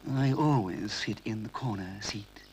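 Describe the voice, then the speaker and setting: A man's voice: on the low side, unhurried, a little mellow, a little hiss or hum. A man voicing a haughty female toy cat who drawls in a superior way. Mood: snooty.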